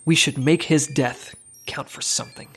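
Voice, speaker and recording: A man's voice: a little high, fairly even intonation, normal speed, fairly bright tone, slightly rough. A man playing a dry, official special agent in a comedy audio drama, speaking in a matter-of-fact way. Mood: resolute.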